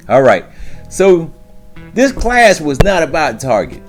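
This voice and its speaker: A man speaks at a middle pitch over faint music, like a seasoned trucker schooling new drivers. His delivery is informal and emphatic, with streetwise phrasing and rhetorical check-ins. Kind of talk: informal instructional monologue to camera.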